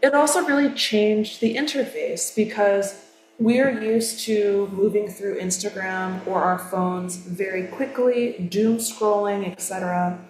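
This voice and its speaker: A woman's voice: medium pitch, a little slow, darkish tone, slight background noise. A woman presenting her creative project, explaining it in a thoughtful way. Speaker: artist presenting her work. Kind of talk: artist talk. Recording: echoey room.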